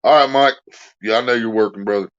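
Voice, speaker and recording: Low-voiced man joking on a lo-fi stream. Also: quick pace, fairly bright tone. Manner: crude joking, reads donations aloud. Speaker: livestream host. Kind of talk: casual livestream chat.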